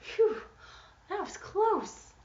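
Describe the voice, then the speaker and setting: A woman puts on a young fairy-tale princess, excitable and dramatic. The pitch jumps high and the lines are exclaimed theatrically. It is heard in an echoey, slightly muffled room. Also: drawn-out words, exaggerated voice. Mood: relieved, joyful. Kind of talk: storybook character acting.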